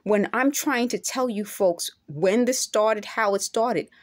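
A woman's voice: medium pitch, takes it slowly. A middle-aged woman talking straight to her phone camera at home, earnest and insistent, returning again and again to her points. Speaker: vlogger. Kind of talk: direct-to-camera monologue. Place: indoor room at home. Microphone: phone camera held close.

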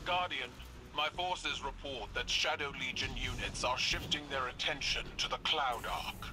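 A composed, formal military leader performed by a man briefing in a sci-fi game. The voice is mid-pitched and measured, with very loud noise behind it. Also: lightly acted. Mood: grave. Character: formal, composed military commander in science fiction. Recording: very noisy, game battle sounds behind.

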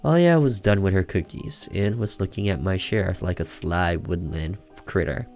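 Deep-voiced man reading a story. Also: moderate pace, a little mellow. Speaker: gaming streamer reading a visual novel aloud. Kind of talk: expressive story narration read aloud. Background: music under the voice.

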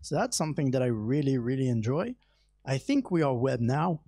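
Young man with a mellow voice, candid panel talk. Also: medium pitch, fairly quick, no echo, studio quality. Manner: relaxed, candid, with small laughs and fillers. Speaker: panelist, software engineer. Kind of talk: conference panel answer. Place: large hall with audience. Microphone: handheld mic.

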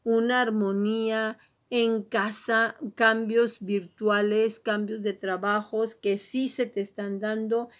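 High-voiced woman giving an encouraging card reading. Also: level tone, slow. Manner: encouraging, exhorting, repeats urgings. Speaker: tarot and oracle card reader, host. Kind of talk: motivational horoscope card reading to the listener.

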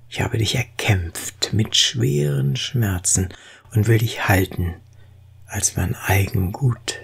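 A reader reciting poetry in a solemn, expressive way, with deliberate phrasing and a breathy, husky voice. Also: mid-range voice.

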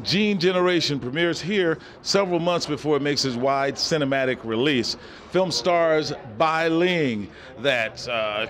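A man's voice: a little high, a bit bright. A man hosting a film premiere, introducing the event and interviewing fans on a handheld mic in a cinema lobby. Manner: upbeat, introducing and asking questions. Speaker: interviewer and host. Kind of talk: event reporting and street interviews.

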